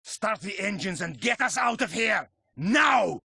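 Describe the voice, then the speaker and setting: A man playing a ruthless, furious villain who barks orders in a strained, gravelly voice in a video game. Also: high voice, animated, unhurried, pushed and bright, no echo, studio quality, exaggerated voice. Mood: furious, barking. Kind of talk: cinematic video game acting.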